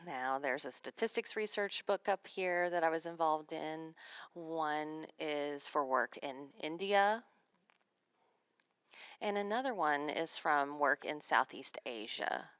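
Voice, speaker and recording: A woman working as a sign language interpreter, voicing a signed seminar talk in measured, phrase-by-phrase delivery. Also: low-pitched, a bit bright, smooth, dry recording.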